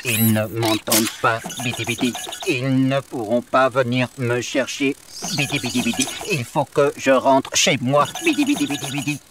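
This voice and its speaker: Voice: mid-range voice, animated, normal speed, close to an everyday voice. An actor voicing a friendly, anxious alien robot in a children's cartoon, with a babbling nonsense verbal tic. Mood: worried. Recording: music behind the voice.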